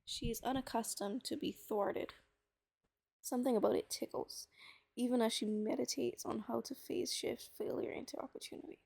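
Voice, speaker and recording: A woman's voice: on the high side, steady tone, moderate pace, gravelly, darkish tone, breathy edge, dry recording. A woman in a casual, playful, self-deprecating manner, as in an informal read-aloud recording. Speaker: reader on a personal audio series. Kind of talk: casual chat and reading a novel aloud.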